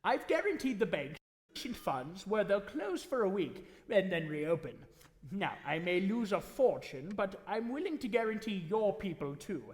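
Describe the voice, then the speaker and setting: An actor playing a scheming, smug old banker who speaks slowly and deliberately in old radio style. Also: male voice, high voice, big pitch swings. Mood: smug, threatening. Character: scheming, menacing old male banker on telephone. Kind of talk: 1940s radio acting on stage. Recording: echoey hall, live stage taping.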